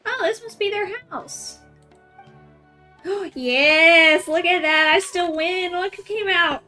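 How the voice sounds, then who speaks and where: A woman's voice: extremely high pitch, slow pace, pushed and bright, soft background music. A woman giving casual, chatty gameplay commentary as a streamer, playfully reacting aloud and talking to herself. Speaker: video game streamer.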